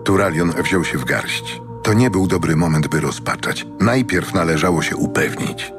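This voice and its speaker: A man's voice: sits low, lively intonation, normal speed. A man narrating a fantasy audiobook in a scripted, dramatic storytelling style. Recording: narration over music.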